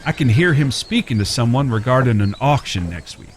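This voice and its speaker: A man plays a charming blind man of the Old West in an audio drama. His mid-pitched voice moves with lively inflection, courtly and playfully self-deprecating. Outdoor ambience and noise sit clearly behind him. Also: brisk, close to an everyday voice. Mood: gently confident. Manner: courtly, folksy phrasing, playfully flirtatious.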